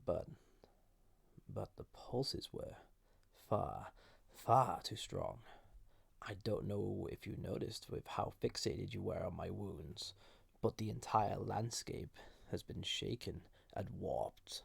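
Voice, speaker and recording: A man voicing an ancient banished villain entity in an intimate audio roleplay, soft-spoken and formal, with a gravelly, husky voice that often drops to a whisper. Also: deep voice, dry recording, exaggerated voice. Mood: grave, explaining. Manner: slow, halting, gently condescending, formal. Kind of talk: intimate scripted audio roleplay addressed to listener.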